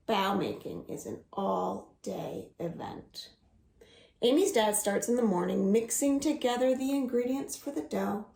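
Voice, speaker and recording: A woman librarian reading a picture book and singing for young children at home, slow and coaxing, inviting them to join in. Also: fairly high pitch, vocal fry, a little mellow, roomy sound, slight background noise. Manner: slow, singsong and coaxing, asks the listeners to join in.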